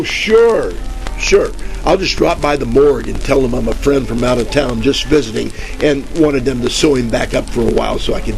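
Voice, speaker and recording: An actor playing a bumbling, wisecracking 1940s private detective in a radio parody, rambling along in deadpan style. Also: male voice, mid-range voice, gravelly. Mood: sarcastic. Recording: crackly old-time radio static, music behind.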